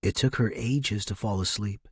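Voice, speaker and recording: A man reading first-person fiction as a narrator, in a grave, measured, dramatic manner. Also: fairly low pitch, husky, no echo, studio quality. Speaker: story narrator.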